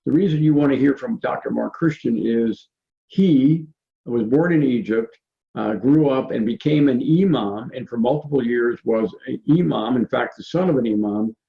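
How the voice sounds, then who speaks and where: A man's voice: medium pitch, moderate pace. A man hosting a show, reading a plain scripted introduction of a guest. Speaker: show host. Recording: dull lo-fi audio with gravelly fry.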